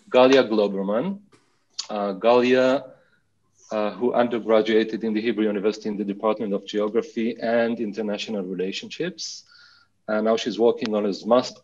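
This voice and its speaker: A man lecturing at an information session in a semi-formal way, with halting, hesitant phrasing. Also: fairly low pitch, a little mellow, muffled recording. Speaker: lecturer and session speaker introducing next speaker. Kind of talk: semi-formal spoken presentation.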